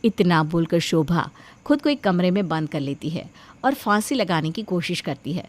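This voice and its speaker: A woman narrating an animated moral story as a scripted storyteller. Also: fairly low pitch, moderate pace, faint music behind.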